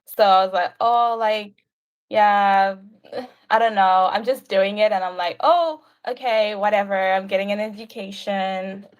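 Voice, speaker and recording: A woman's voice: medium pitch, fairly even intonation, measured pace, clean tone, muffled recording. A young adult woman with a South African accent chatting casually on a video call, rambling along with lots of filler "like". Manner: rambling, frequent "like". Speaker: livestream guest, university student. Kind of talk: casual chat.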